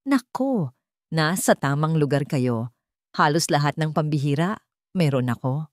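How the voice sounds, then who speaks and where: A woman's voice: low voice, lively intonation, measured pace, dry recording, clean studio sound. A woman voicing a boastful, ingratiating male pawnshop owner in his sixties in a story. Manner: boastful, eager to please.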